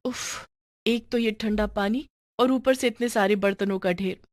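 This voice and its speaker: A woman's voice: mid-range voice, measured pace, dry recording, close to an everyday voice. A woman voicing an overworked young daughter-in-law in a cartoon family story, sarcastic and pointed. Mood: grumbling. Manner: grumbling, taunting, pointed.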